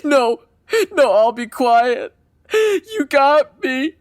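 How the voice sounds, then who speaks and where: A cornered, guilt-ridden killer in a comic radio play. He wails at a high pitch in slow, overwrought phrases. The sound is dry and close. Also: very animated, no echo, exaggerated voice. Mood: hysterical confessing. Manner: wailing, overwrought. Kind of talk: comedic audio drama acting.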